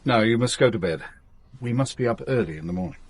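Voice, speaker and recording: An actor playing a calm, secretive smuggler and protective lover in a radio drama, with a measured, assured manner. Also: male voice, medium pitch, a little hiss or hum, close to an everyday voice. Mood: tender, serious.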